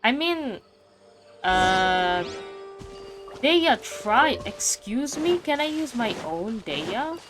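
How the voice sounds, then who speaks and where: A woman's voice: on the high side, sing-song, drawn-out words. A woman giving casual commentary as she plays a video game, often thinking aloud absent-mindedly. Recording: faint game music behind. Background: video game music.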